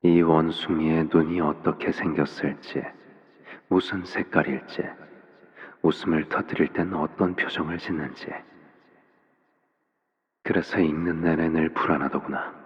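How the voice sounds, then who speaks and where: An actor playing a soft-spoken, controlling father in a video game, speaking in a gentle, deliberate, coaxing way with quiet menace. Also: very deep voice, steady tone, husky, dull audio, heavily acted. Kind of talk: scripted game voice acting.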